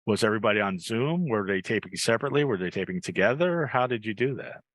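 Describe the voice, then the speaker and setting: A man's voice: on the low side, lively intonation, fast, a bit bright, no echo. An American man hosting a podcast, asking questions and chatting casually with a guest. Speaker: podcast host. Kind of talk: casual interview chat.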